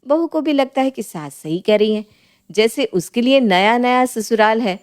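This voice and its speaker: A woman storyteller narrating a scripted family story in a lively, colloquial style. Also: a little high, moderate pace, smooth.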